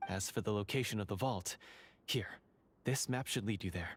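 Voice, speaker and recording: A man voicing a loyal undercover guard who speaks in an earnest, steady, formal way. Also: fairly low pitch, moderate pace, a little hiss or hum, close to an everyday voice.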